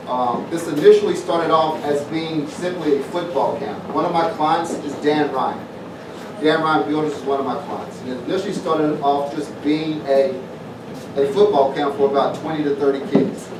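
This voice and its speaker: A middle-aged man from southwest Virginia, giving a plainspoken, informal speech to an audience at an evening community event. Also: a little high. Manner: folksy, plainspoken, repeats phrases. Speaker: former pro football player announcing a festival. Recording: roomy, distant mic, noisy event.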